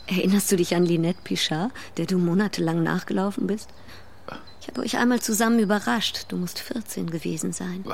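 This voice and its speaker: A woman's voice: fairly low pitch, steady tone, moderate pace, gravelly, a little hiss or hum. An actress playing a seductive, bold married woman in a radio drama, intimate and coaxing. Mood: longing. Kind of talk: German radio drama acting.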